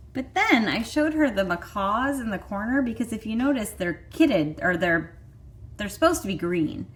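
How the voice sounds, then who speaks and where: A woman's voice: mid-range voice, expressive, measured pace. A woman in her thirties with a Michigan accent, hosting a vlog in chatty, rambling casual talk full of fillers. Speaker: vlog host. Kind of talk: casual chat.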